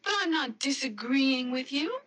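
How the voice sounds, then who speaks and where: Radio mystery fiancée, young American: bright, high, animated voice, stubborn yet anxious, quick to protest. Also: female voice. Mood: stubborn, irritated. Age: young adult. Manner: hesitant, protesting, emotional. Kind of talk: radio mystery drama acting.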